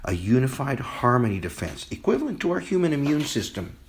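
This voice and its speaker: Guy playing idealistic chef adviser, polite, measured explaining, plain everyday voice, amateur audio drama. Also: medium pitch, sing-song, a little hiss or hum. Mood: calm, idealistic. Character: idealistic, courteous male visionary chef advising a president.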